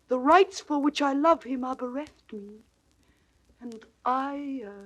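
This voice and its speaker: An actress playing a devoted, resolute young noblewoman and wife, pleading earnestly in deliberate classical stage declamation. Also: fairly high pitch, breathy edge, lo-fi sound. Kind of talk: classical stage acting in audio drama.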